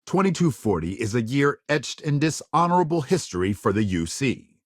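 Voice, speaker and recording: An actor playing a serious, polished male news anchor in a sci-fi game, reading scripted reports with measured, emphatic delivery. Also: mid-range voice, big pitch swings, no echo, studio quality. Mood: grave, authoritative. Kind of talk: scripted news broadcast narration.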